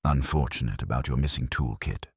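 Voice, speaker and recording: A man's voice: rumbling low, brisk, husky, no echo, studio quality, pitched below the performer's own voice, exaggerated voice. A man voicing a mild, dryly witty police inspector in a mystery story, with an understated, formal way of talking.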